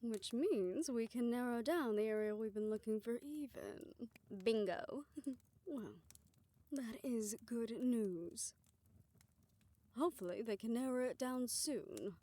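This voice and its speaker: A woman performing a scripted audio roleplay, voicing several characters in a soft, hushed way that often drops to a whisper. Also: a little high, measured pace, pauses often.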